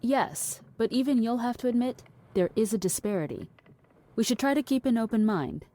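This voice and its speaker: A woman playing a skeptical, needling philosophical character in an audio drama, measured and condescending in delivery. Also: on the high side, lively intonation, mellow, close to an everyday voice. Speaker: actor.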